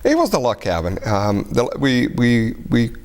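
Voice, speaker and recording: A man's voice: mid-range voice, huge pitch swings, moderate pace, darkish tone. A middle-aged man with a German and Spanish tinged accent, a native speaker of Spanish and German, chatting modestly as an interview guest. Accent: mixed German, Spanish and British English. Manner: modest, chatty, with frequent restarts. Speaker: restaurant and banquet business owner, guest. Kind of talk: casual interview talk. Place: TV studio.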